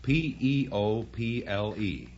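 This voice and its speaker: A man's voice: lowish voice, lively intonation, slow pace, a little mellow. An American man announcing a vintage radio quiz show in showy introductory style. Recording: dull vintage radio broadcast.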